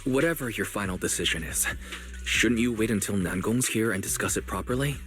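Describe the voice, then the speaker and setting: An actor playing a calm, caring young male manager in a video game, with a gentle, measured delivery. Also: on the low side, faint music behind, natural voice. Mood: uneasy, steady. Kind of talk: scripted video game voice acting.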